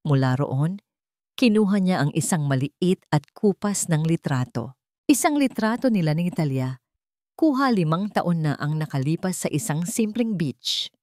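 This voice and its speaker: Female story narrator, Filipino accent, low register, dry recording, scripted dramatic delivery. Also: animated, normal speed, darkish tone, dry recording.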